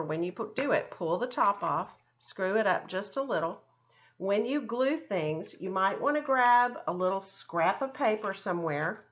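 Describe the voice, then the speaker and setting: A woman's voice: mid-range voice, fairly bright tone, highs cut off. A woman art teacher talking children through a craft step by step in a chatty, encouraging, casual way. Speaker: art teacher giving a demonstration.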